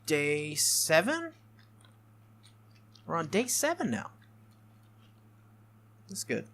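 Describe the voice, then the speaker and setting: Bright-voiced man reading a visual novel. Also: on the high side, wildly swooping pitch, drawn-out words. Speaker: playthrough narrator reading a visual novel aloud. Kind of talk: expressive read-aloud narration.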